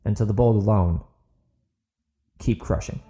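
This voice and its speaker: A man with a mellow, relaxed voice hosts a fiction podcast. He moves between dramatic audiobook narration and chatty, enthusiastic talk to listeners, with music playing softly behind him. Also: fairly low pitch, a little slow. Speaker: podcast host and author reading his own novel.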